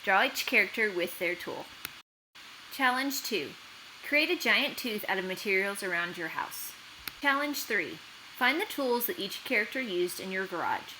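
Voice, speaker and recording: A woman narrating for children as an expressive read-aloud storyteller and host. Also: mid-range voice, moderate pace, a little hiss or hum, poor audio. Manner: expressive, clearly addressed to children.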